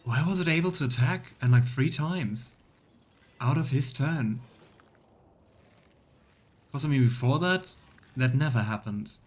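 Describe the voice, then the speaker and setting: A man's voice: mid-range voice, a little slow, pauses often. Easygoing male streamer musing over a game. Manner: relaxed, musing, reads text evenly. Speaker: let's play streamer. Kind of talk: casual gaming commentary and reading game text aloud.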